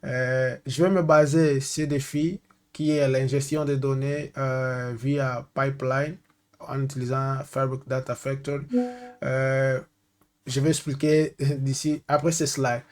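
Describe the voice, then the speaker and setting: A man's voice: mid-range voice, level tone, unhurried, a little mellow. Hesitant Angolan man teaching tech. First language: Portuguese. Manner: hesitant, searches for French words, many fillers. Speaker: data consultant. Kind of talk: screen-share tech tutorial.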